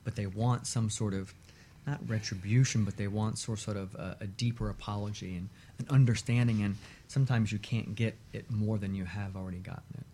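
Low, mellow-voiced man, measured talk. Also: steady tone, slight background noise. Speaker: relationship therapist as show guest. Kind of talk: conversational discussion of relationships.

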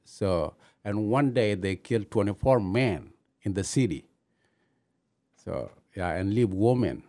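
A man from Ethiopia, telling his own story in halting, with many pauses. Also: lowish voice, expressive, dark tone. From Gambela, Ethiopia. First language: not English. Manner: halting non-native English, many pauses. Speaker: interviewee, new citizen. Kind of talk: personal story in an interview.

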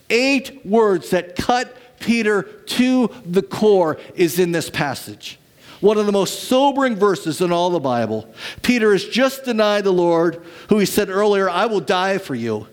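Male pastor, sermon, high voice, storytelling, lively then hushed. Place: church.

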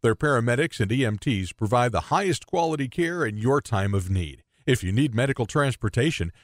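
A man's voice: medium pitch, animated, brisk, no echo, clean studio sound. An American man reading a scripted radio advert as an announcer.

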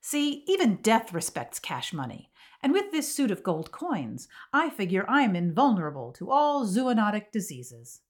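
A woman performs a flighty, theatrical female podcast co-host with grand, absurd conviction. Her mid-pitched voice swoops widely as she argues emphatically in scripted comic banter. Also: huge pitch swings, moderate pace. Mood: manic, insistent. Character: flighty theatrical woman dabbling in homemade magic, zealously absurd.

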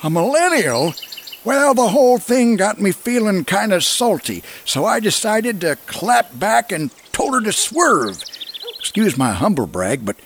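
A man's voice: high voice, sing-song, unhurried, a little hiss or hum, full character voice. A man voicing an indignant middle-aged job seeker awkwardly trying to sound hip.

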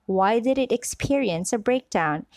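A woman voice-over artist reading a corporate training script in a clear, measured, earnest way, close to the mic. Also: on the high side, animated, darkish tone, no room sound.

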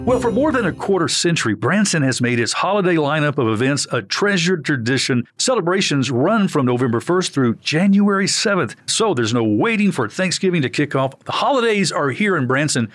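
A man's voice: fairly high pitch, very animated, brisk, hardly any pauses, a bit bright, no echo. Male radio host, close dry sound. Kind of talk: friendly broadcast interview chat.

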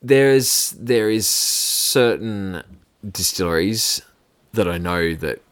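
Aussie bloke on a podcast, slightly low voice, keeps feeling for words, very dry close sound. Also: male voice, animated, slow pace, clean tone, dry recording. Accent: Australian. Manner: hesitant, searching for words. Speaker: podcast co-host. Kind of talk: casual whisky podcast chat.

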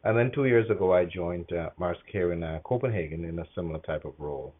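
A middle-aged man from Guyana answering interview questions as a corporate executive, speaking in a measured, hesitant way with frequent pauses. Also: sits low, slightly rough, narrow, tinny audio.

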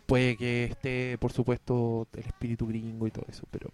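A man's voice: medium pitch, completely flat delivery, a little fast, a little mellow, clear, resonant tone, dry recording, faint music behind. A man with a Chilean accent co-hosting a casual film chat podcast at home, rambling, joking and swearing freely. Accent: Chilean Spanish. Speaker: podcast co-host. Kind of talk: casual chat about films.

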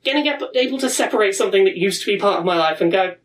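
A woman in her thirties speaks earnestly and reflectively, pausing and restarting as she recalls personal moments. Her voice sits at a middling pitch and sounds set back from the microphone. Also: animated, distant mic, a little hiss or hum. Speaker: speaker at a pride event.

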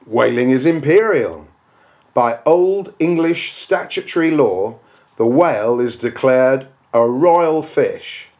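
A man's voice: medium pitch, lively intonation, slow, a little mellow. A man reading a classic novel aloud as dramatic narration, in a declamatory, oratorical manner. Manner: declamatory, oratorical, with rhetorical questions. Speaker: audiobook reader.

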